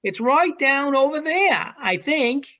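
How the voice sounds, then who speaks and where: A woman acting as a teasing, worldly adult seagull in an amateur virtual one-act play, with drawn-out delivery. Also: sits high, animated, bright, forceful tone, heavily acted. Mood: encouraging. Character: teasing, worldly adult seagull perched high above the city. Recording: dull lo-fi video call audio.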